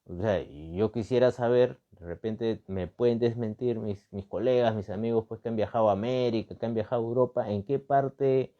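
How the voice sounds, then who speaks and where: A man's voice: on the low side, normal speed, few pauses, highs cut off. A man from Peru with a Peruvian accent gives off-the-cuff analytical commentary, emphatically repeating phrases and posing rhetorical questions with frequent tag words. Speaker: political and constitutional commentator. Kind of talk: extemporaneous analytical talk.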